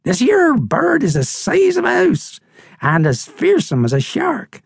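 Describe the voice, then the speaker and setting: Crusty old female seabird, high and salty. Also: huge pitch swings, a little slow, vocal fry, dry recording, studio quality, heavily acted. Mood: ominous warning. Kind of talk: storybook character reading.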